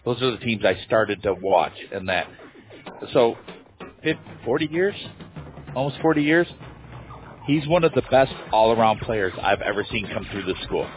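A man in his late forties, an excited radio color commentator praising a high school player. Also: normal speed. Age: 40s. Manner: enthusiastic, emphatic, repeats words. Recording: tinny phone-like radio line with faint music.

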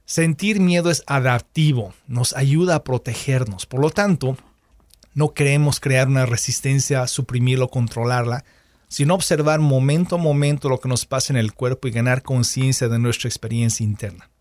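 A man's voice: medium pitch, normal speed, a little mellow, no room sound. A man hosting an interview, reading a scripted introduction and then asking questions in two languages in turn. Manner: switches between Spanish and English, repeats questions in both. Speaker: host. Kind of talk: bilingual radio interview.

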